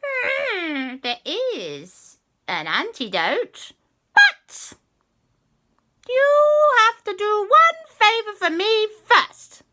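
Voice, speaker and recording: A woman voicing a female cat witch ghost who speaks high and drawn out, with purrs and cackles. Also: wildly swooping pitch, drawn-out words, bright, forceful tone, full character voice. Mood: coaxing.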